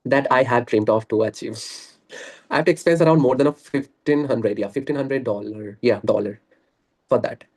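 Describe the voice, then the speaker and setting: A man's voice: medium pitch, normal speed. A man talking earnestly and at length on a video call, laughing often. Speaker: guest. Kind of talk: casual conversation practice.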